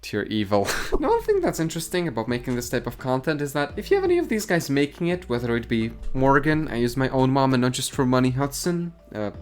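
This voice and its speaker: Sardonic YouTube commentator, lively pitch. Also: male voice, fast talker, hardly any pauses. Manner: dry, mocking, deadpan asides. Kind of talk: sarcastic commentary. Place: garage.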